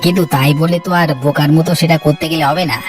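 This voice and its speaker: A man's voice: on the high side, fairly even intonation, very fast, close to an everyday voice. A man voicing a sensible, resigned village farmer in a fairy-tale cartoon, advising like an elder brother. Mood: concerned, resigned. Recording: distant-sounding voice over music bed.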